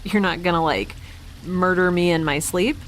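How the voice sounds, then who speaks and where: A woman's voice: on the low side, normal speed, slight background noise, close to an everyday voice, rough recording. A woman playing a weary, rambling space scientist in an audio drama, with a hesitant, stammering, wry delivery. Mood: uneasy, hesitant. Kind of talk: naturalistic audio drama acting.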